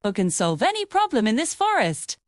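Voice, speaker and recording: A woman's voice: on the high side, wildly swooping pitch, fairly quick, dry recording, clean studio sound. A woman voicing a boastful, smug male fox in a children's animal story. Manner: showy, smug, dismissive.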